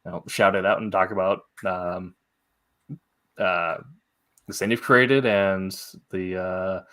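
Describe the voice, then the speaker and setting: A man hosting a podcast in a casual, halting way, with many pauses as he goes. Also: deep voice, fairly even intonation, fairly bright tone. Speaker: podcast host.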